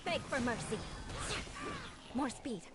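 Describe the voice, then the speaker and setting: A woman voicing young female game heroes who call out short combat shouts, heard as game audio over loud music. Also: fairly high pitch, lively intonation, takes it slowly. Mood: battle cries.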